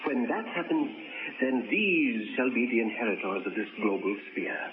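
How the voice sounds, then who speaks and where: Man doing zealous old visionary, grandiose and ominous, swooping pitch, tinny noisy radio sound. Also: expressive, moderate pace, lightly acted. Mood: fervent. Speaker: eccentric old man planning to die. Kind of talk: 1940s radio acting.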